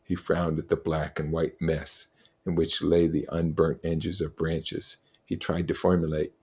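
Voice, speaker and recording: A man's voice: very deep voice, flat delivery, unhurried, a little mellow, slightly rough. A man reading a novel aloud as a teacher, with explanatory comments along the way. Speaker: reader and teacher.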